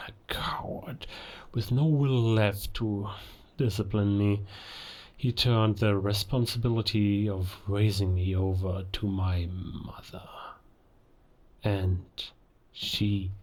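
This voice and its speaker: A man playing a menacing, brooding werewolf, speaking deliberately in a cinematic audio drama monologue. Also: on the low side, darkish tone. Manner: slow, deliberate, brooding, theatrical. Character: menacing werewolf man savoring freedom, recounting his bitter childhood.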